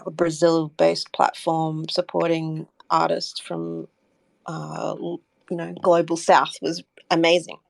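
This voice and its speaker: A woman speaks in a lowish register with plenty of vocal fry. She is a guest in a casual interview, feeling her way through answers hesitantly, and the sound is dry and close. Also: no room sound. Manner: hesitant, thinking aloud with frequent "I guess". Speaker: interview guest, community member and artist.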